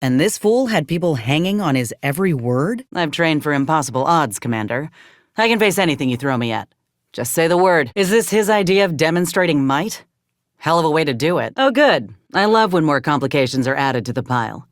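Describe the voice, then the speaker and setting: A woman's voice: sits low, expressive, brisk, dry recording. An actress voicing a plain-spoken, loyal young woman fighter in a video game, with a folksy, wry manner. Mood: sardonic, then resolute. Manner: folksy, dry-witted. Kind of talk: video game companion voice lines.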